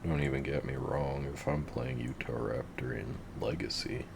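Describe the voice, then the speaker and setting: Very deep, gravelly gamer guy. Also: steady tone, moderate pace, background noise. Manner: laid-back, swearing, rambling. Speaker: gamer narrating his play. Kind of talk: casual gameplay commentary.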